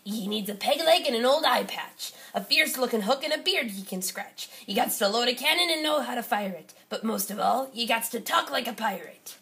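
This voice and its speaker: A woman voicing a gruff, blustering male pirate captain who talks in loud, bossy pirate slang. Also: fairly high pitch, expressive, brisk, few pauses.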